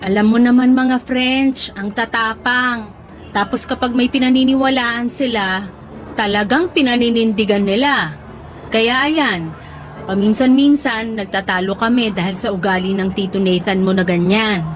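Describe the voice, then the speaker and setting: A woman acting a doting middle-aged mother in a radio drama, teasing and gently scolding her grown son. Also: on the high side, normal speed, faint music behind, close to an everyday voice. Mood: reassuring.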